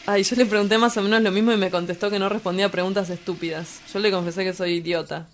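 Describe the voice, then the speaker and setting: Radio drama: woman as blunt, cynical young male Argentine street poet, idle and crude, mid-pitched. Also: fairly even intonation, quick pace, slight background noise, natural voice. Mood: cynical teasing. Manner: blunt, vulgar, teasing.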